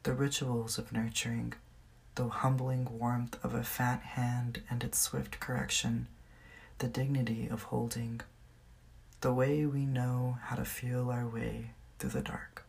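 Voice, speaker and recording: A woman's voice: a bass voice, flat delivery, measured pace, gravelly, darkish tone. A woman from California with an American accent, reading poetry aloud as the poet. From California, United States.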